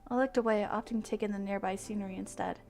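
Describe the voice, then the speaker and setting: A woman livestreaming visual novels, switching between excitable gushing reactions and expressive read-aloud narration. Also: medium pitch, fast, gravelly. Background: game music.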